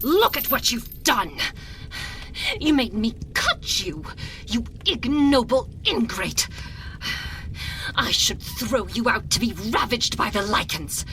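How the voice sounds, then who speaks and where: A woman playing an imperious, seductive and menacing vampire noblewoman in an ASMR roleplay, haughty and theatrical, with drawn-out phrasing. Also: on the high side, animated, fairly bright tone, heavily acted, low-quality recording. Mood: furious, scornful. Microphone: binaural microphone. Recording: close binaural mic, faint background noise.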